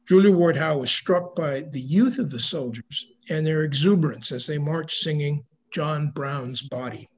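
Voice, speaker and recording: An older man giving a prepared slide lecture on history over a video call, reading in a measured way. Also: fairly high pitch. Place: indoor room at home, video call. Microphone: video call microphone.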